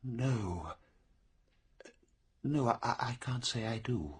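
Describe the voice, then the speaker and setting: A man's voice: medium pitch, expressive, slow, slightly rough, full character voice. A man playing a troubled, timid war veteran in a radio drama, speaking hesitantly with stammered repeats.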